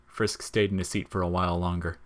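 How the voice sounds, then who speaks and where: A man's voice: sits low, flat delivery, a little fast. A man reading scripted narration as the narrator of an audio story.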